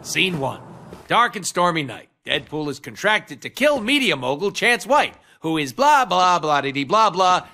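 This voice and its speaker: An actor voicing a manic, foul-mouthed, wisecracking mercenary antihero in a video game, brash and goofy. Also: male voice, sits high, sing-song, moderate pace, full character voice. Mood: sardonic. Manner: brash, goofy, swooping from mutters to shrieks. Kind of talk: video game cutscene voice acting. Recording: loud music and effects behind.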